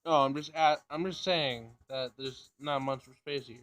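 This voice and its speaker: A man's voice: medium pitch, normal speed, a bit bright. A man casually reading a visual novel aloud, narration and dialogue, sometimes stumbling over the words.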